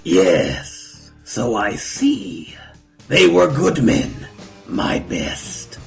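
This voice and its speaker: A man playing a menacing, arrogant older martial arts master, speaking with pompous, theatrical slowness and a gravelly edge in a fan-made voice comic. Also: medium pitch, wildly swooping pitch, music in the background, exaggerated voice. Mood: proud menace. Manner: slow, pompous, theatrical threats.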